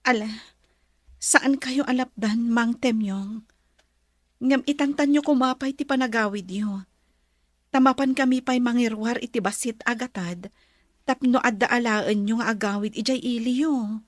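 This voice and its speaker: A woman's voice: fairly high pitch, measured pace, no room sound, clean studio sound. An actress with an Ilocano accent playing a kind, grateful woman of a wealthy household in an earnest drama. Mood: kind, insistent.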